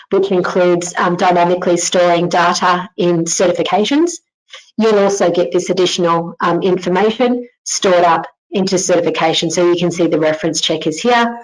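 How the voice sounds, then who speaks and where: A woman with a slightly low voice narrates a screen-share software demonstration. She speaks informally as a company presenter, taking the listener through each step in an instructional way. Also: rough recording.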